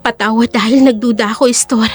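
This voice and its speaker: A woman's voice: on the high side, steady tone, fast, faint music behind, lightly acted. A woman playing a tender, emotional young sweetheart in an audio drama. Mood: grateful, remorseful. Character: grateful, tearful young female sweetheart, tender and apologetic.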